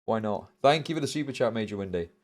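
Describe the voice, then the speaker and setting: A middle-aged man with a British accent hosting a livestream, chatting casually and telling stories in a rambling, sweary, animated way. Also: fairly low pitch, quick pace. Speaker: livestream host. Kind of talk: casual chat to a livestream audience.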